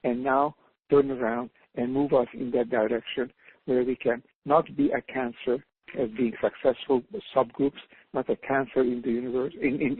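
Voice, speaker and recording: An older man from Hungary, speaking English with a Hungarian accent, talks earnestly on a panel as an author calling in by phone, often repeating words as he searches for them. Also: mid-range voice. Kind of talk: reflective panel talk by phone. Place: calling in from home abroad. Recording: husky tinny phone line.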